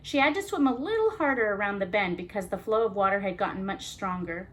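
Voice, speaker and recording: A woman's voice: medium pitch, lively intonation, quick pace, fairly bright tone. A woman hosting a children's storytime, narrating expressively and adding casual asides. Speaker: children's storytime reader and host.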